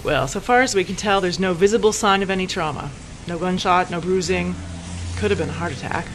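An American actress playing courteous, slightly hesitant small-town women, a sheriff and a wife, in an audio drama. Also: female voice, on the low side, brisk, noisy background, natural voice. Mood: matter-of-fact.

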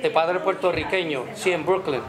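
A man's voice: a little high, normal speed, a crowd in the background. A man from New York, a salsa singer, speaking in a friendly, modest, chatty way at an indoor press conference. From New York, USA. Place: indoor restaurant, seated at a table. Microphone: table microphones, later handheld mic.

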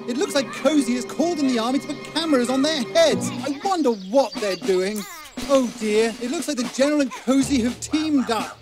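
A man playing a cheery, mock-kindly British narrator of a children's storybook video game, theatrical and sweetly condescending. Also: very high-pitched. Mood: mock dismay. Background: music.

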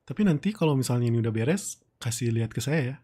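Man playing a breathy, mellow, flirtatious boss. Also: mid-range voice, lively intonation. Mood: coaxing, flirtatious.

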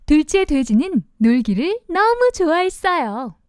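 Female children's storyteller: high voice, lively intonation, expressive, simple narration for little ones. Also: a little slow, noisy background.

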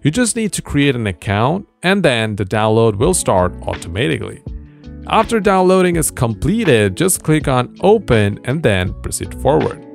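Man, tutorial narrator, emphatic sing-song delivery, animated intonation, gravelly edge. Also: medium pitch, moderate pace. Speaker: tech tutorial host. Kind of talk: scripted software tutorial narration. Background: background music.

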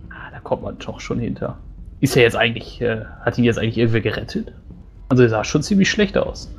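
A man's voice: mid-range voice, lively intonation, fast, gravelly. A man playing a nosy, teasing adventurer in a tabletop game, casual and wry. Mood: teasing, curious. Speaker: player. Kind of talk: in-character tabletop roleplay. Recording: faint background noise.

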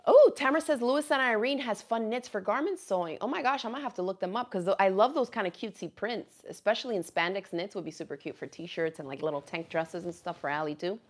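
A woman in her thirties from Miami, a native Spanish speaker, hosting a casual live craft chat, animated and quick to laugh, at a fixed camera in a small craft room. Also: medium pitch. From Miami, USA. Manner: chatty and animated, laughs often, reads viewer comments aloud. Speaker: craft channel host. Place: small indoor craft room with quilts on the wall.

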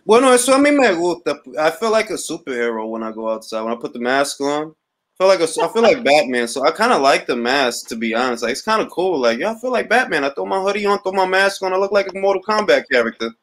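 A man's voice: on the high side, big pitch swings, fast, a bit bright. A man in his late thirties hosting a casual interview over a video call, loose and chatty. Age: 30s. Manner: loose, chatty, says "bro". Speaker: interview host. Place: small room indoors. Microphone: laptop webcam on video call.

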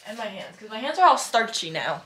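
A woman's voice: a little high, very animated, a little fast. A young adult woman chatting informally and slangily to camera while cooking in a home kitchen, thinking aloud as she goes. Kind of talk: casual vlog chat while cooking. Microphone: camera set up a step away. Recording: echoey room, faint noise, kitchen clatter.

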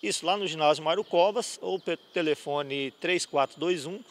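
A man's voice: fairly high pitch, normal speed. A middle-aged man, organizer of a youth futsal cup, explaining the rules into a handheld mic inside a sports gym. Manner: explanatory, with fillers. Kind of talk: interview. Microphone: handheld reporter mic.

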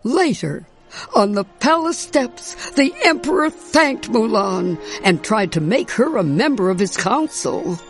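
A woman reads a children's story aloud. Her delivery is slow and very expressive in pitch, with music playing behind her. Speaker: storybook narrator. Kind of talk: scripted read-aloud children's story narration.

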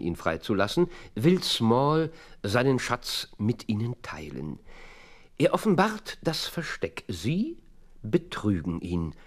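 An actor playing a brilliant, impatient detective in a German radio play, with a deliberate, dramatic delivery and hushed phrase endings. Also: male voice, on the low side, full character voice. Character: brilliant, theatrical male detective, sure and impatient.

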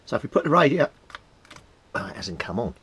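Man, British accent, medium pitch, casual hobby vlogger, informal explaining, thinking aloud at a workbench. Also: sing-song, brisk, pushed and bright, a little hiss or hum. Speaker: radio hobbyist presenting a repair update. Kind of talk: casual explanatory vlog while handling equipment. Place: indoors at a home workbench.

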